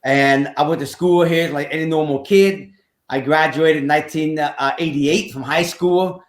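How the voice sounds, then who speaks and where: A man's voice: a little high, steady tone, normal speed, roomy sound. A man in his fifties from Vietnam, telling his life story as a podcast guest in an earnest, repetitive way with some nonstandard grammar. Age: 50s. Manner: earnest, repeats phrases, slightly nonstandard English grammar. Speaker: podcast guest, real estate investor. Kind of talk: casual interview storytelling.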